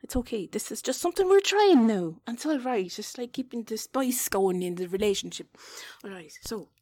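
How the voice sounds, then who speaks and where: Woman playing chatty, awkward Irish wife for intimate audio drama. Breathy, whispery, coaxing delivery, very dry recording. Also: on the high side, lively intonation, dark tone, dry recording. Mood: awkward, reassuring. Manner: halting, self-conscious, coaxing, drifting into breathy whispers. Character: flustered, chatty Irish wife talking intimately to her partner. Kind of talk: intimate erotic audio roleplay.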